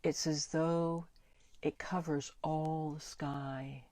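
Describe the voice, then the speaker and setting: A woman leading a guided meditation in a soothing, deliberate way, pausing between short phrases. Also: deep voice, little pitch movement, darkish tone, no room sound. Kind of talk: guided meditation, gentle spoken visualisation.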